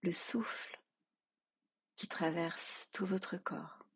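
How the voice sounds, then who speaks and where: A woman teaching yoga nidra, leading a guided relaxation in a soft, calm, measured way with long pauses. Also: fairly low pitch, slightly rough.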